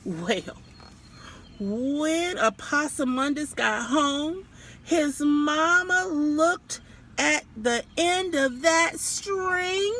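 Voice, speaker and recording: A woman's voice: high-pitched, very slow, a bit bright. A woman reading a children's story aloud outdoors, theatrical and speaking directly to young listeners. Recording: clear background noise, outdoors.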